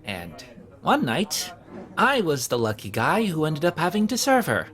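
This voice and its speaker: Man playing a sheepish, halting young guy. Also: on the high side, wildly swooping pitch, fairly bright tone, heavily acted. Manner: hesitant, sheepish, halting with fillers. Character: awkward, hapless young man who keeps getting fired. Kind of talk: conversational audio drama acting.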